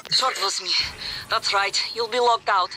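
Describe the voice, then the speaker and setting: A performer playing a frank, harried ally who gives instructions over the radio in a video game, in a brisk, conversational way. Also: medium pitch, steady tone, bright, forceful tone, slight background noise, lightly acted, poor audio. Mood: businesslike.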